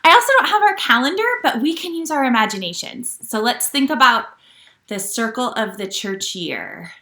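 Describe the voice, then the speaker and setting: A woman leading a children's church storytime at home, talking gently to young listeners with questions and reading aloud. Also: on the high side, animated, moderate pace, echoey room. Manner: gentle teacher talk, asking children questions.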